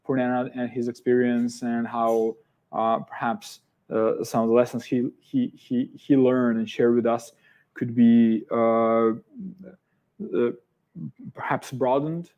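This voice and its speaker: A man with a Serbian accent hosting an online interview, speaking haltingly with many fillers and restarts. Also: medium pitch, level tone, darkish tone. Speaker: event host.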